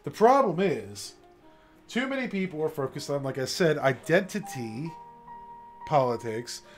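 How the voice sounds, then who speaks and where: A man's voice: on the high side, sing-song, unhurried. A man streaming late at night, talking passionately and emphatically about his views, often tagging points with "okay?". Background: faint classical music.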